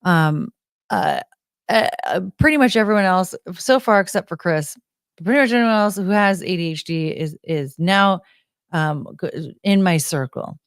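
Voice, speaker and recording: Low-pitched American woman, dry close sound. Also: unhurried, clean tone, no echo. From United States. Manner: unfiltered, swears casually. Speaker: live stream host. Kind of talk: casual rambling live stream chat.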